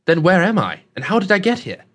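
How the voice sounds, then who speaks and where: Man voicing an earnest young adventurer, raised pitch. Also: huge pitch swings, brisk, fairly bright tone, heavily acted. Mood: bewildered. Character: earnest young male adventurer, polite, anxious.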